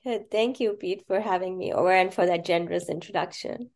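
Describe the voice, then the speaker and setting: A woman's voice: on the low side, a little fast, darkish tone. A woman from Pakistan, a native Urdu speaker, answering interview questions thoughtfully with some hesitations. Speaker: author and guest.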